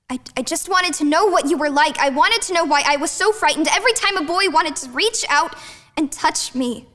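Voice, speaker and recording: High-voiced, anxious young girl, acted by a woman. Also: a bit bright. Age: teens to young adult. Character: anxious, vulnerable young girl longing for family affection. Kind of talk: dramatic stage monologue.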